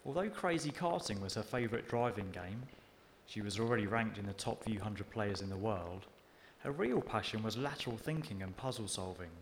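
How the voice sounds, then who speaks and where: A man reads from his own novel at a live literary evening. His narration is steady and unhurried, set in a lowish register. There is a faint hiss behind him. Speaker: author reading his fiction. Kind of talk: reading aloud at a live literary event.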